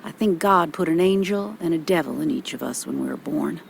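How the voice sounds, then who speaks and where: A woman's voice: deep voice, brisk, gravelly, a little hiss or hum. An actress playing a motherly older small-town policewoman in a video game, folksy and reassuring. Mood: philosophical.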